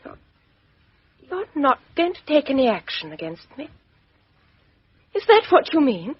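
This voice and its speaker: A woman's voice: sits high, big pitch swings, a little slow, no echo. An actress playing a proud, defiant, bitter young English woman in a radio mystery drama, with an English accent and clipped, intense, emotional delivery. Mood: relieved, rueful. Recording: dull, dry lo-fi radio recording.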